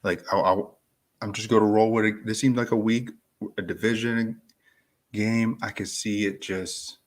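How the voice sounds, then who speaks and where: A man who just woke up, sounding groggy, rambling in a slow, laid-back live-stream chat about fantasy football. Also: fairly low pitch, steady tone. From Florida. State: groggy and deep-voiced from little sleep.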